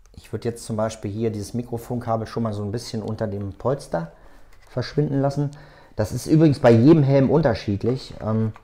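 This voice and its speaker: A man with a soft, dark-toned voice talks through a practical task as he does it. He explains each step without a script, in a halting way, as the host of a tutorial. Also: medium pitch. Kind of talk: hands-on tutorial, talking while working.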